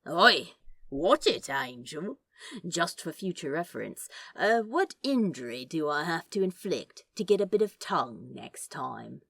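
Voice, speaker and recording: Cheeky male demon played by a woman, low and unhurried, slangy teasing drawl, flirtatious, for a storybook. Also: sing-song, creaky, fairly bright tone, breathy edge, dry recording, clean studio sound, exaggerated voice.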